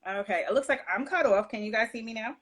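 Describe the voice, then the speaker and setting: A woman's voice: on the high side, fast talker, a bit bright, a little hiss or hum. A woman from New York hosting a casual live-stream chat, affirming her guest and greeting viewers, with many fillers. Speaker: host.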